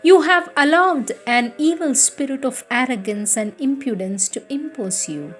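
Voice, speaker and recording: A woman reads devotional letters aloud in a solemn, carefully measured way. Her voice sits a little high and she takes her time over every phrase. Soft music plays faintly behind her. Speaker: reader. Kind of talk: devotional reading of spiritual letters.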